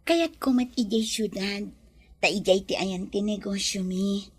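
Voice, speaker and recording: A woman with an Ilocano accent playing a gentle, trusting, ailing city businesswoman in a radio drama. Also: medium pitch, unhurried, slightly rough. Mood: calm, friendly.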